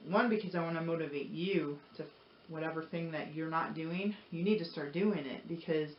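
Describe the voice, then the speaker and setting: A woman's voice: sits low, moderate pace. Echoey, earnest woman vlogger. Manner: earnest, encouraging, rambling. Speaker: motivational vlogger. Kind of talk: casual personal talk to viewers. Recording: roomy echo, dull lo-fi audio, noisy.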